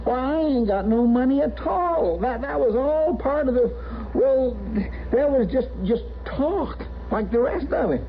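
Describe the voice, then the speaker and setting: An actor playing a meek, simple-hearted Western helper, stammering and deferential in a folksy rural American drawl, in 1950s radio western style. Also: male voice, very high-pitched, animated, unhurried, hardly any pauses, heavily acted. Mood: pleading. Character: meek, flustered, simple-hearted frontier deputy's helper in trouble. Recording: dull, noisy 1950s radio recording.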